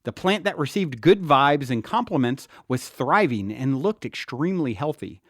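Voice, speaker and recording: A man narrates a nonfiction audiobook in a mid-pitched, very animated voice. His delivery is expressive and explanatory, with a wry touch. The recording is close and dry. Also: normal speed, no echo. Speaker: author and podcast host reading his own book.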